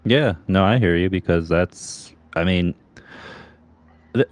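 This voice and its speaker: Very deep-voiced male interview host. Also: takes it slowly. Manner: long explanatory answers with frequent fillers. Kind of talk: casual video-call interview.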